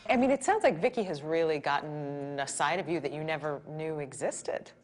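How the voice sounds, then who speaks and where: Low-voiced woman asking questions. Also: lively intonation, normal speed, faint music behind. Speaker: interviewer. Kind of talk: interview questions.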